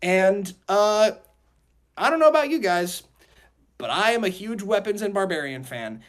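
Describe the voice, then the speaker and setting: A man hosting a casual group chat in an excitable, jokey, slangy way, often repeating phrases for emphasis. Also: high voice. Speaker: podcast host.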